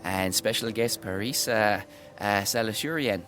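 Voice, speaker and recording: A man's voice: lowish voice, a little slow, a bit bright. A man hosting a podcast interview outdoors, hesitant, with many "uh" fillers. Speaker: podcast host. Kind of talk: casual interview. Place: outdoors outside a bookshop. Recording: music playing behind the voice.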